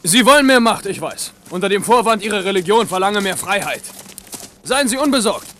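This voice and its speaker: A man's voice: high-pitched, expressive, moderate pace, pushed and bright, roomy sound, slight background noise. A shrewd, commanding king. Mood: assured. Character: young king Henri, confident and shrewd. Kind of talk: 1970s radio drama acting.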